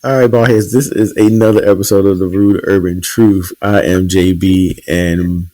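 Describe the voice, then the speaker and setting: A man with a very low, deep voice hosts a podcast in relaxed, casual conversation. He teases his co-host constantly and keeps the banter light and playful. His delivery is loose and chatty. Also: normal speed, rough recording. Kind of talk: casual chat.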